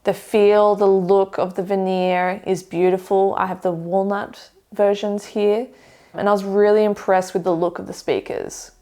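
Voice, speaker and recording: A young woman seated in a living room, giving a measured, detailed review of speakers to camera. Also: mid-range voice, flat delivery, dark tone. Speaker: audio equipment reviewer. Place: living room with hi-fi shelves, indoors.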